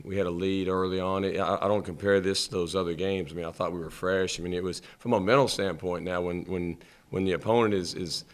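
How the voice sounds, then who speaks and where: A middle-aged man, the head coach, answering questions at a postgame press conference with a table mic, measured and halting, repeating words as he thinks. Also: sits low.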